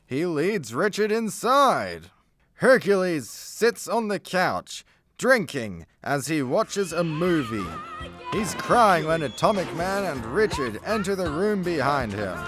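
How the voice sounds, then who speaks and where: Slow, animated male narrator. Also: on the high side, over music. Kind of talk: scripted narration of a comedy radio play.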